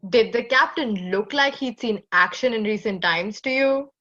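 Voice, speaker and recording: A woman's voice: on the high side, slow, sharp tone, lo-fi sound, full character voice. A woman playing a sardonic, impatient masked starship crew member in a sci-fi audio drama, with a dry, sarcastic delivery. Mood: wry, grumbling.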